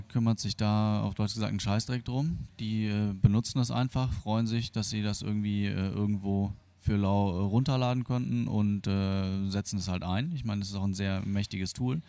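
Low, gravelly man explaining. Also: steady tone, normal speed, hardly any pauses, dark tone, dry recording. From born Argentine, grew up in Germany. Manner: hesitant, colloquial explaining. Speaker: interviewee, free software developer. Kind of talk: spontaneous interview answers, explanatory.

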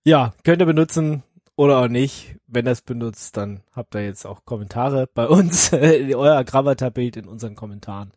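A man's voice: medium pitch, normal speed, few pauses, a little mellow, dry recording. Male podcast co-host from Berlin, casual and teasing, mid-range voice, very dry recording. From Berlin, Germany. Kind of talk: casual chat.

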